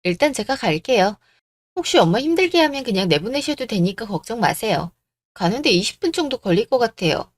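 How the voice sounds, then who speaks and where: A woman plays a composed young bride-to-be in a radio drama. The voice is fairly high and polite, recorded very dry. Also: sing-song, fairly quick, no room sound. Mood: reassuring. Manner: courteous, composed. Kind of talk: Korean radio story drama.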